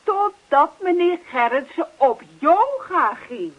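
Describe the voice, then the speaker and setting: A woman's voice: sits high, expressive, slow pace, highs cut off. A woman playing a sweetly insistent wife in a radio play, coaxing and mildly scolding. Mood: sweetly pointed. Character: sweetly insistent wife prodding her husband.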